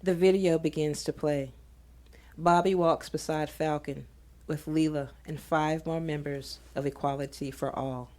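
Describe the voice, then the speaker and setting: A woman reading scripted audio drama narration in a measured, descriptive manner. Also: sits low, fairly even intonation, darkish tone.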